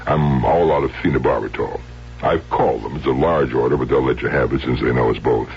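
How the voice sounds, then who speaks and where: Very deep-voiced, genial doctor father. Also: fairly quick. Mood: genial, preoccupied. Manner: folksy, hesitant, tags sentences with huh and hmm. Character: genial middle-aged father, a small-town family doctor. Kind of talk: 1970s radio drama acting. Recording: dull, noisy, humming old radio recording.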